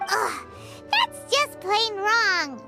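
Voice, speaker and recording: A woman voicing a small, childlike, curious fairy companion in a video game, squeaky and exclamatory. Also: huge pitch swings, drawn-out words, full character voice. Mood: disgusted. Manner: refers to itself by name, exclamatory. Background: children's style game music.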